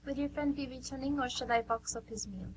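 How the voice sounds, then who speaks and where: A woman's voice: high-pitched, steady tone, a little fast, a little hiss or hum, natural voice. A woman playing a curt but polite French restaurant waitress in an amateur radio play. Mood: brisk, polite.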